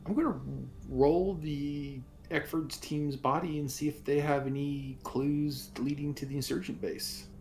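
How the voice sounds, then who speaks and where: A man playing a tabletop roleplaying game, chatting casually and thinking aloud in a halting way. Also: a little high, fairly even intonation, darkish tone. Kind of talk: casual improvised play chat. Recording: faint background noise. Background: faint noise.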